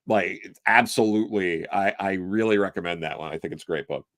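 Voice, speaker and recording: A man's voice: sits low, a little fast, fairly bright tone, no room sound, studio quality. A man appearing as a guest in a casual chat, talking in an enthusiastic, rambling way with casual swearing. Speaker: guest, comics writer.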